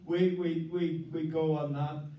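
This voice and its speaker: A man hosting a local history meeting, speaking off the cuff in a halting way, repeating words and filling with "uh". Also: a little high, flat delivery, warm tone, muffled recording. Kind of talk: unscripted thanks and announcements at a meeting. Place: meeting hall. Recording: echoey hall, voice from far off.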